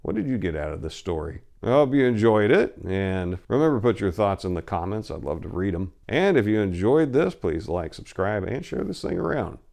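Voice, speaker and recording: A man's voice: fairly low pitch, very animated, moderate pace, gravelly, hardly any pauses, darkish tone. A man hosting with dramatic commentary addressed to his audience, speaking theatrically and emphatically, drawing out key words. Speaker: host.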